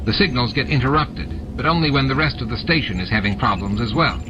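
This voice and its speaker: A man's voice: medium pitch, fast talker, noisy background, natural voice. A man voicing a cowardly, obsequious alien son who fawns on and placates his father in an audiobook. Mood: nervous, placating. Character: fawning, cowardly alien son and henchman, eager to please. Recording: dull lo-fi audiobook with music.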